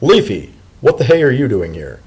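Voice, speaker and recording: A man voicing a fussy, wry male pony civil servant in a story reading, with a dry, brisk and protective manner. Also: mid-range voice, very animated, darkish tone, breathy edge, pitched above the performer's own voice. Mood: alarmed. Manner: dry, brisk, fussily caring.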